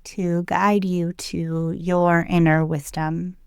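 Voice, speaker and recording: A woman leading a guided meditation in a gentle, soothing, unhurried way, leaving long pauses between short phrases. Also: fairly low pitch, flat delivery, smooth, no echo, studio quality. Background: loud meditation music with singing bowls.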